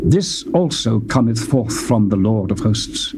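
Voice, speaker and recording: A man's voice: on the low side, animated, measured pace, heavy background noise. A man reading scripture as a dramatic narrator, solemn and declamatory, in archaic diction, on an old cassette tape. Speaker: Bible narrator.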